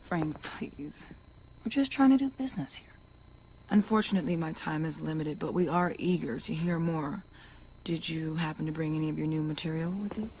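A woman's voice: low voice, moderate pace, dark tone, slight background noise, poor audio. An actress playing a poised, scheming young woman who runs a business in a crime video game. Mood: smooth, businesslike.

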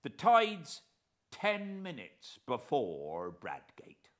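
A man's voice: medium pitch, wildly swooping pitch, very slow, fairly bright tone, pitched above the performer's own voice, full character voice. A British man voicing a respectful, musing old coast guard officer in a storybook reading. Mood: helpful. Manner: deferential, musing, helpful.

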